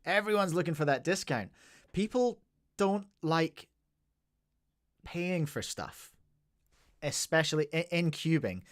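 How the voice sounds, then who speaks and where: A man's voice: fairly high pitch, expressive, takes it slowly, pauses often, dry recording, studio quality. A man from Scotland with a Scottish accent, hosting a podcast and talking in a winding, chatty way with asides to listeners. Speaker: podcast host. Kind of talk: casual podcast chat.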